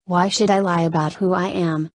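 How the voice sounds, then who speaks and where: A woman's voice: lowish voice, steady tone, moderate pace, no echo, studio quality, lightly acted. A woman voicing a naive, earnest, confused young woman patient in a story reading, questioning and hesitant. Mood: earnest, puzzled.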